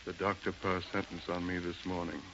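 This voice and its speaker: A man's voice: deep voice, monotone, fairly bright tone. An actor playing an ailing, despairing older man pleading melodramatically in a 1930s radio drama. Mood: wistful, desperate. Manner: melodramatic, halting, imploring. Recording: muffled, noisy 1930s radio recording.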